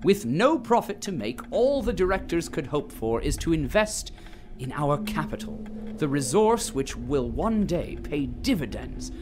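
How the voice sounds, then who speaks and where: A man's voice: a little high, sing-song, measured pace, noisy background. A man playing a grandiose, solemn narrator, delivering scripted dramatic narration for an audio drama. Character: grandiose, solemn propaganda-style male narrator.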